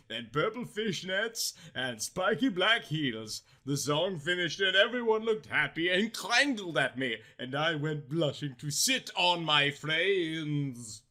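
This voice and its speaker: Animated high-voiced male story narrator. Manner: melodramatic, exaggerated emphasis. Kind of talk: theatrical comic reading of parody fan fiction.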